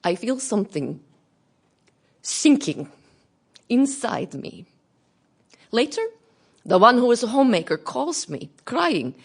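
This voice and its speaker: Expressive, earnest Russian woman activist. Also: on the high side, takes it slowly, vocal fry, pauses often, husky, exaggerated voice. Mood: grave, troubled. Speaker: actress in an audio play. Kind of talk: dramatic monologue, radio theatre.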